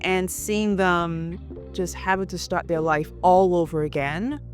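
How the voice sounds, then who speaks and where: A woman's voice: fairly low pitch, a little slow, soft background music. A woman hosting an interview, giving introductions and asking questions. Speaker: interview host.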